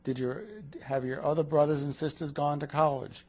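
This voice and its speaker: A man's voice: fairly high pitch, little pitch movement, normal speed, a little mellow. A man interviewing a client in a counseling session, asking short questions and repeating her answers back to check them.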